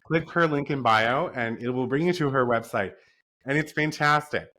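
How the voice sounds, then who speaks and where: A man's voice: mid-range voice, normal speed, pushed and bright. A man hosting an interview podcast, chatting casually and effusively, with lots of "um" and "like" as he gushes about his guest. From East Coast of North America. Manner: enthusiastic, effusive, frequent "um" and "like". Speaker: podcast host.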